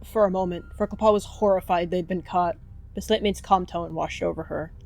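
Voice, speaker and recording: A woman's voice: lowish voice, fairly even intonation, fairly quick, creaky, darkish tone. A woman narrating a scripted third-person animal story as part of a roleplay. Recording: music behind.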